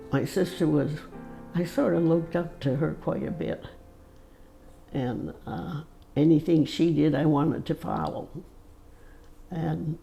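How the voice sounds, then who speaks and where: A woman around a hundred years old tells family stories at a very slow, halting pace. Her voice is heavily gravelly and mellow. She pauses often and uses many fillers. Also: sits low. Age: 100s. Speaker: interviewee. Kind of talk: casual storytelling.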